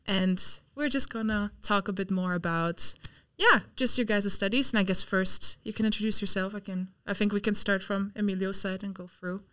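A woman's voice: medium pitch, normal speed, few pauses, bright, forceful tone, no echo, clean studio sound. A young woman hosting a university podcast, chatty and upbeat, with many filler words. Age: young adult. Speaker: podcast host. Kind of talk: casual interview chat. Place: university studio room. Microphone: desk mic on stand.

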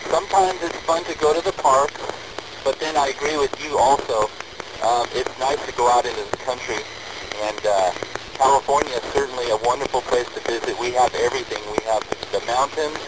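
An American man chats over amateur radio. He sounds easygoing and friendly, with a mid-pitched voice. The radio link is clearly noisy, with engine hum behind him. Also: a little fast. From United States. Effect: radio transmission. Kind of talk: casual chat over ham radio.

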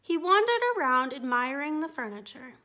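A woman reading a children's picture book aloud, gentle and expressive. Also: high voice, moderate pace. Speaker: storytime reader.

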